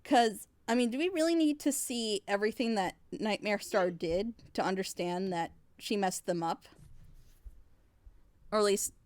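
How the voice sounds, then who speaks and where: A woman's voice: mid-range voice, normal speed, darkish tone, no echo. A woman giving a casual, opinionated episode critique in a blunt, exasperated and sarcastic way. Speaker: reviewer in a group reaction show.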